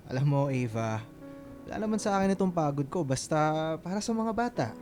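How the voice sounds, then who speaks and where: A man playing a kind, playful young suitor in a Filipino radio drama, with a coaxing, expressive delivery. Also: on the high side, normal speed, soft background music, lightly acted. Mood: caring, coaxing. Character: Romel, kind, playful, caring young man courting a volunteer.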